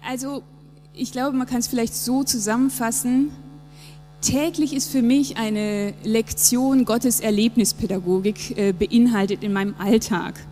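A woman in her thirties from Japan talks earnestly and thoughtfully in a church interview. Her voice sits a little high but has a warm, mellow color. The sound carries a long reverb, with faint music underneath. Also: fairly even intonation, a little slow. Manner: reflective, earnest, with fillers. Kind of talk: casual conversation in a church service interview.

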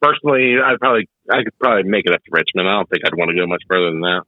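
A man's voice: deep voice, fairly even intonation, very fast, dry recording. A man, a guest in a casual chat, plain-spoken and laid-back. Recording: tinny phone-like call line.

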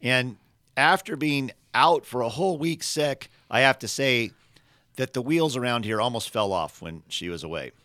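A man hosting a podcast, his voice scratchy and gravelly from being sick, talking in a rambling, friendly way with many restarts. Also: mid-range voice, animated, normal speed, pushed and bright, no room sound, studio quality. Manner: rambling, self-correcting, friendly. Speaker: podcast host.

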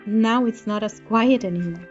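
A woman's voice: medium pitch, normal speed, dull audio, faint music behind. A middle-aged woman from Costa Rica, a curator, speaking passionately and frankly in an interview. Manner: passionate, outspoken. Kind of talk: interview talk.

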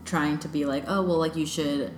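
Low-pitched woman interviewer, relaxed, echoey room, light noise. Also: normal speed. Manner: relaxed, prompting questions. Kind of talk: casual conversation.

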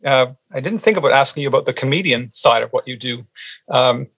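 A man hosting a podcast, asking a guest questions in a polite, slightly halting way. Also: mid-range voice, fairly bright tone, slight background noise, rough recording. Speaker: podcast host.

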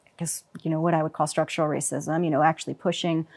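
A woman's voice: sits low, little pitch movement, fast, gravelly, a little mellow. Reflective woman, fillers throughout. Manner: reflective, many fillers like "you know" and "like". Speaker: panel guest. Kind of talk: casual panel discussion.

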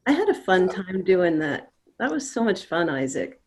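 A middle-aged woman, a playwright, talking in a casual panel discussion in a measured way, pausing mid-phrase. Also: on the low side, sing-song, breathy edge.

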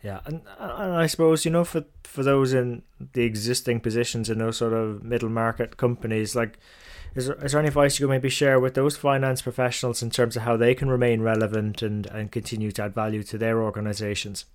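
A man's voice: mid-range voice, steady tone, fairly quick. Man hosting a business interview. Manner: affirming, long reflective questions. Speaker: interview host.

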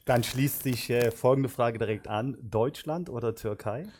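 A man hosting a podcast, putting quick quiz-style questions to his guest in a casual interview. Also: mid-range voice, darkish tone, slight background noise. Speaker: podcast host.

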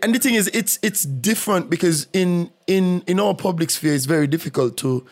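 A male host with a slightly raised voice chats in a relaxed way. He keeps affirming the other person with "mm-hmm" and works his thoughts out loud, restarting phrases as he goes. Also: sits high, fairly quick. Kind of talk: casual podcast chat.

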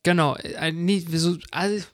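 A man's voice: high voice, steady tone, unhurried, no echo, studio quality. A man co-hosting a podcast in casual, colloquial conversation. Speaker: podcast co-host. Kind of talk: casual chat.

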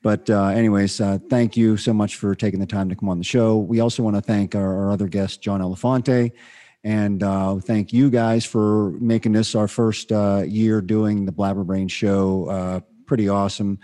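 A male talk show host with a low, mellow voice. He keeps an easygoing, casual tone as he chats with his guests. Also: flat delivery, normal speed. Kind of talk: casual chat.

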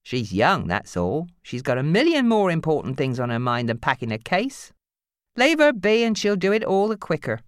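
A woman voicing a patient, easygoing old grandfather in an audiobook novel, wry and gently grumbling. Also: deep voice, big pitch swings, moderate pace, no room sound, clean studio sound. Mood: knowing, calm.

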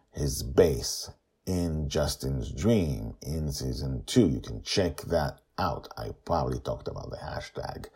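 A man with an extremely deep, husky voice hosts and tells a story. His pitch swings widely from low rumbles to emphatic peaks. He pauses for drama and slips easily into casual asides. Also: a little mellow. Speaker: storyteller, podcast host.

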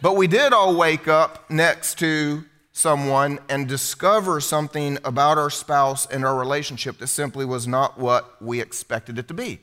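Bright-voiced man preaching with humor. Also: a little high, normal speed, hardly any pauses, smooth. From United States. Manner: conversational, humorous preaching to a congregation. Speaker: pastor. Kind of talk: sermon. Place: church hall before a congregation.

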